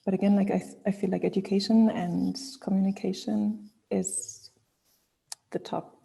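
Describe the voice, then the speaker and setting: A woman speaking on an online panel, thoughtful and pausing often to search for words. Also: medium pitch, little pitch movement, gravelly, warm tone, slightly rough, rough recording. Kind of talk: panel discussion.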